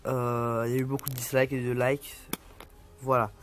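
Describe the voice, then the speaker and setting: A man's voice: mid-range voice, little pitch movement, moderate pace. A young man vlogging casually, reading an article aloud and stumbling over words, with many fillers. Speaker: youtuber.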